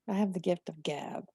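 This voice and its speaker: A woman's voice: fairly low pitch, mellow, husky, no echo, clean studio sound. A woman with a slight Southern accent, chatting casually as a guest about her writing, laughing often and acting out her own thoughts. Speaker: guest writer. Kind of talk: casual conversation.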